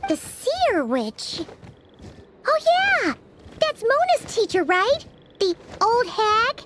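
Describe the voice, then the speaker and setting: A woman voicing a tiny, excitable floating companion with a squeaky, cheeky, expressive voice. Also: slow, very noisy, full character voice.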